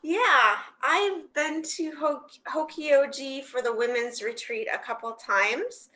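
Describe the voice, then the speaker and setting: A woman's voice: high voice, slow, bright, forceful tone. A woman joining a video call as a participant, speaking in a friendly, hesitant way. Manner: friendly, hesitant, laughs lightly. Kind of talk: casual remarks on a video call.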